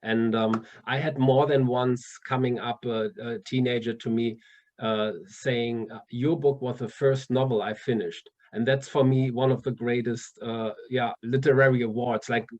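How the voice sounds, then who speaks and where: A man's voice: medium pitch, level tone, normal speed, a little mellow, muffled recording. A man with a German accent, an author chatting casually in an interview, often restarting his sentences with fillers. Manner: hesitant, many restarts and fillers, laughs often. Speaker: author guest.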